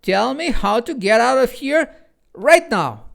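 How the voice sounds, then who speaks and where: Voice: medium pitch, very animated, very slow, gravelly, voice pushed up, full character voice. A storyteller voicing a bold, feisty young girl.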